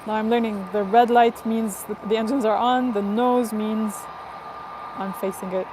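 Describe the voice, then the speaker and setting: A cheerful woman with a fairly high voice hosts a live stream from outdoors near an airport. She chats casually with viewers in accented English and laughs often. Outdoor ambience and traffic noise run clearly behind her. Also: fairly even intonation, normal speed. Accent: non-native English, neither Portuguese nor Spanish. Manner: chatty, upbeat, laughs often. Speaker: livestream host.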